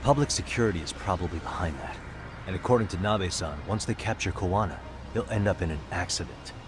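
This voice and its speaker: An actor playing a determined, level-headed male detective, calm and businesslike, in a video game dub. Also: low voice, quick pace, background noise. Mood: urgent, serious. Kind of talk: dramatic video game voice acting.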